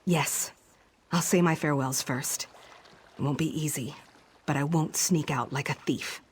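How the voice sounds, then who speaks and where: An actor playing a determined, hopeful woman in a fantasy video game, with a husky voice. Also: mid-range voice, steady tone, a little slow, slight background noise, natural voice. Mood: resolute, hopeful.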